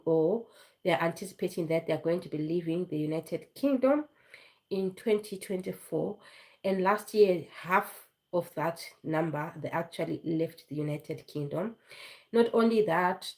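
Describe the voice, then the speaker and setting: A woman's voice: on the low side, a little mellow, rough recording. Woman with flu talking on a live stream. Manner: chatty, repeats points, fillers and restarts. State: has flu, voice low and foggy. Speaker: vlogger hosting a live stream. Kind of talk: casual live-stream talk.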